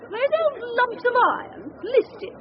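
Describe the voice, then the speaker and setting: A woman's voice: very high voice, unhurried. An actress playing an imperious, opinionated older British lady in a radio drama. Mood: indignant, disdainful. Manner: imperious, emphatic, disdainful. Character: bossy, snobbish, opinionated older lady on an outing. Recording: dull radio recording, clear background noise.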